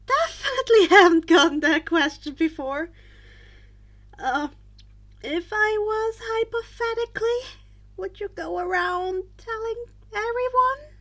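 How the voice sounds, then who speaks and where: Woman as a high, hesitant, anxious teenage boy. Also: expressive, a little slow, fairly bright tone, heavily acted. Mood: nervous, hesitant. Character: anxious, awkward teenage boy with a secret identity. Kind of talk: podfic character voice.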